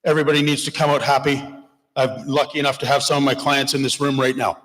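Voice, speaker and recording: A man's voice: medium pitch, flat delivery, fast talker, fairly bright tone. Passionate man candidate in a hall. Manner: passionate, plain-spoken. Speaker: election candidate. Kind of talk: answering a question at a candidates meeting. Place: church hall. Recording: long reverb of a hall.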